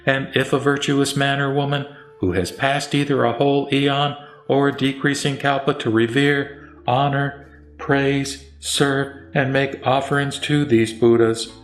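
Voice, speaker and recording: A man reciting the Buddha's part in a scripted sutra audio drama, as a serene, authoritative teacher with solemn, deliberate phrasing. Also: mid-range voice, fairly even intonation, natural voice. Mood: serene, instructive. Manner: solemn, didactic, deliberate listing. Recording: roomy echo, music bed underneath.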